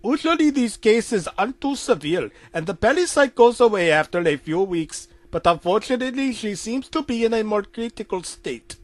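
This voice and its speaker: A man's voice: high voice, big pitch swings, normal speed, hardly any pauses, no echo, voice pushed up. A man voicing a resigned cartoon doctor with an Indian accent. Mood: matter-of-fact. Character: resigned cartoon doctor, male, delivering grim news.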